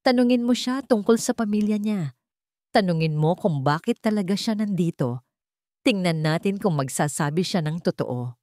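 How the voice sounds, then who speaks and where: A woman playing a scheming young man in a radio drama, speaking gently with feigned concern and a taunting edge. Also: fairly low pitch, animated, normal speed, dry recording, clean studio sound, natural voice. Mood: taunting, smug. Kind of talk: Tagalog radio drama storytelling.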